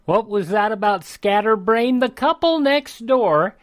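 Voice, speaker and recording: A man's voice: sits high, animated, measured pace, music in the background. A man hosting a radio show, presenting in an emphatic announcer style with casual asides.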